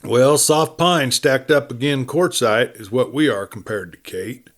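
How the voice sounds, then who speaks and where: A man's voice: mid-range voice, measured pace, lightly acted. A man performs a tough cowboy from a western storybook. He preaches with unshakable conviction in an American Western drawl. His manner is folksy and opinionated, like someone schooling a younger partner. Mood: pitying, preachy.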